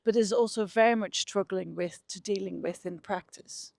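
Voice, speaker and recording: A woman's voice: mid-range voice, moderate pace, creaky, a little mellow, dry recording, studio quality. A middle-aged woman with a Dutch accent, explaining her research conversationally as an interview guest. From Netherlands. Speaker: interview guest, behavioural scientist.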